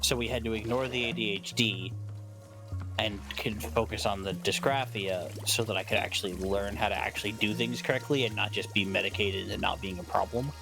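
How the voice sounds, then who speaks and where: Man, lowish voice, casual co-streamer talk, music playing behind. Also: fairly even intonation, fairly quick, gravelly. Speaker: guest co-streamer. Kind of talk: casual chat over a game stream.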